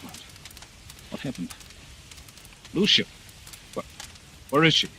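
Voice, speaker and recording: An actor playing a bewildered, defensive husband under suspicion, halting and indignant, in an old-time radio mystery. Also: male voice, bright, forceful tone, a little hiss or hum. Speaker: suspect in a mystery drama.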